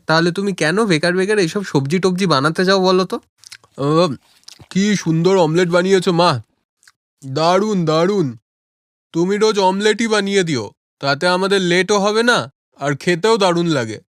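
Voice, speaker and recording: A man's voice: sits high, fairly quick, a bit bright, clean tone, no echo, clean studio sound. A man voicing a cheerful, food-loving village family man in a cartoon, eager, coaxing and appreciative.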